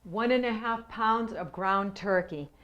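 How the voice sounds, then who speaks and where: A woman's voice: medium pitch, measured pace, highs cut off, background noise. Chatty woman hosting a home cooking show. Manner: chatty, homey, explains each step. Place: home kitchen.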